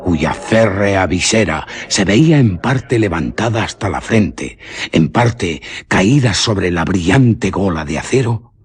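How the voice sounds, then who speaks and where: A male storyteller reads scripted suspense narration for radio. His voice is low, and his delivery is solemn and deliberate. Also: lively intonation, husky.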